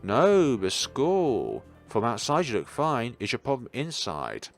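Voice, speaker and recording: A man voicing a blunt, curious teenage schoolgirl who talks in deadpan, rambling musings in a visual novel. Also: medium pitch, takes it slowly, creaky, faint music behind, exaggerated voice. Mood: inquisitive.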